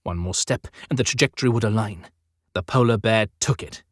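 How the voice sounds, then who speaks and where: A man's voice: on the low side, a little fast, no echo. Man narrating dramatic fiction, dry studio sound. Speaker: audiobook narrator.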